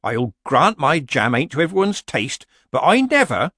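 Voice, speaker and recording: A man's voice: sits high, huge pitch swings, moderate pace, fairly bright tone, dry recording, studio quality, voice pushed up, exaggerated voice. A man voicing an old country witch in an audiobook story, a sly woman with a folksy, modest, deceptively mild way of talking. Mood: meek innocence.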